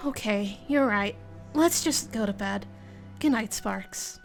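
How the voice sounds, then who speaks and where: A woman's voice: medium pitch, slow pace, vocal fry. A woman voicing a young male dragon who is gloomy and unsure, speaking in a subdued, hesitant way over faint music. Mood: downcast.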